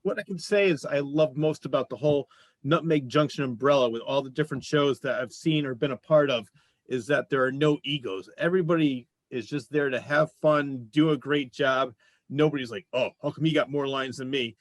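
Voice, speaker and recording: A man's voice: medium pitch, a little fast, no room sound. A man hosting a casual panel interview, talking conversationally. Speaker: show host.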